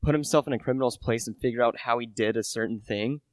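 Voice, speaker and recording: A man's voice: mid-range voice, fast, dry recording, close to an everyday voice. A teenage male actor playing an earnest, humble British salesman in a radio play, chatty and a little hesitant. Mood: puzzled, admiring. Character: earnest, humble British relish salesman narrating a murder mystery.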